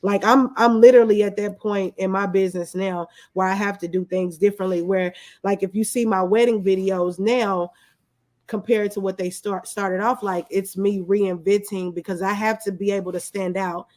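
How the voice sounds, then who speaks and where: A woman's voice: medium pitch, fairly even intonation, normal speed, darkish tone. A woman speaking as an interview guest, a small business owner talking casually and candidly through personal anecdotes.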